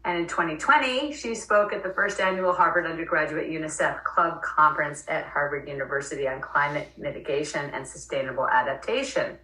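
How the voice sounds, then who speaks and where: Woman podcast interviewer, lower-pitched, bright voice, conversational, recorded roomy and away from the mic. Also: a little fast, hardly any pauses. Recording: roomy sound, distant from mic.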